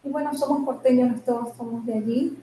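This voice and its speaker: A Venezuelan woman of about fifty, an interview guest telling her story softly, with many fillers. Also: on the high side, fairly even intonation, a little fast, roomy sound, a little hiss or hum, poor audio.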